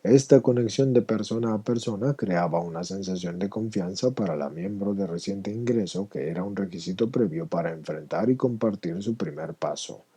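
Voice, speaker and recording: A man's voice: on the low side, warm tone, husky. A man reading a personal testimony aloud as scripted narration.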